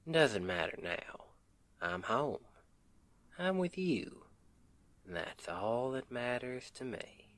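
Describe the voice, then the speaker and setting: A man's voice: on the low side, a bit bright, no echo, heavily acted. A man playing a sweet, devoted Southern husband in an intimate ASMR roleplay, tender and affectionate, with a Southern US accent. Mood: weary, glad.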